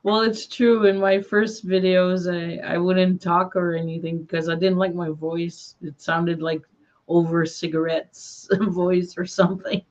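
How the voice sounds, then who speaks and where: Slow, low-voiced woman, calm host. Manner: slow, calm, soothing delivery. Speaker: paranormal investigator host. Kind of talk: live-stream ghost hunt chat. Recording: dull lo-fi stream audio.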